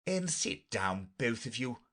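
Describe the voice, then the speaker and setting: A man acts out a great storybook lion, weary and short-tempered. The beast growls and drawls sleepily, at a slow pace with swooping pitch. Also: very animated, a bit bright, full character voice. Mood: commanding. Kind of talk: storybook character reading.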